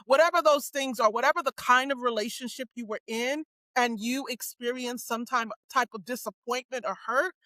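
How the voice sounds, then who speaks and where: Bright, emphatic woman host. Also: a little high, moderate pace, hardly any pauses, dry recording, low-quality recording. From United States. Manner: emphatic, keeps asking "right?". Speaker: podcast host. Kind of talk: casual faith-based discussion.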